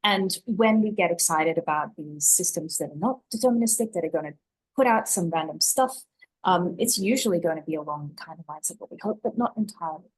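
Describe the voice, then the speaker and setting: A woman speaking as an expert panelist, explaining things off the cuff in a measured, articulate way. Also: lowish voice, lively intonation, slightly rough, low-quality recording. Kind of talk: spontaneous explanation on a conference panel.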